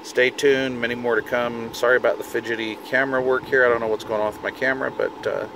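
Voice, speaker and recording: A man's voice: medium pitch, little pitch movement, fast talker. Man chatting over noticeable car noise. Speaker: hobbyist video host demonstrating radio gear. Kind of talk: casual hands-on demonstration. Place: inside a parked vehicle.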